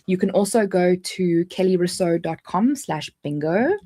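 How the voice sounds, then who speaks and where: Woman, South African accent, lowish pitch, chatty livestream host, restarts a lot. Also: expressive, measured pace, mellow. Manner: chatty, jumps between points with restarts. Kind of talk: casual livestream chat.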